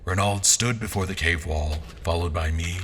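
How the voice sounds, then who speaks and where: A man playing a formal, wry royal adventurer who narrates his journey in a measured audio drama storytelling style. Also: deep voice, a bit bright, slight background noise. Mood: measured, brooding. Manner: formal, deliberate, storyteller delivery. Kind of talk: first-person scripted narration in an audio drama.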